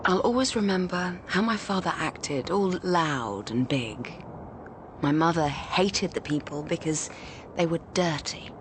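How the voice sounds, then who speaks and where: An actress playing a hardened renegade space explorer in a radio drama, idealistic yet ruthless, speaking gravely and deliberately. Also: female voice, lowish voice, lively intonation, noisy background. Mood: bitter. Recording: music behind the voice.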